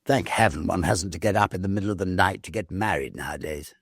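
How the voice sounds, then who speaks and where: A man's voice: sits low, fast talker, breathy edge, no echo, clean studio sound, voice pushed up. A British man voicing a confident, breezy, determined young bridegroom in a story reading, with an offhand, emphatic manner.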